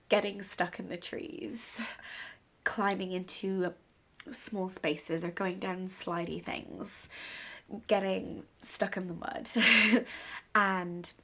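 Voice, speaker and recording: A woman in her thirties, a bit bunged up with a cold, chatting casually and rambling gently about parenting in an unplanned vlog. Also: medium pitch, vocal fry. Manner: rambling, gentle, warm-hearted musing. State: congested from a cold. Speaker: parenting vlogger. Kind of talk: casual unscripted talk to camera.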